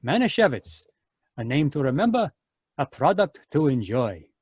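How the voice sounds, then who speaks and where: A man reading old-time radio commercials in a smooth salesman cadence. His voice is breathy and a little higher than most, and the sound is dry and close. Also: big pitch swings, moderate pace, no room sound. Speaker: announcer reading sponsor ads. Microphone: home microphone on a video call.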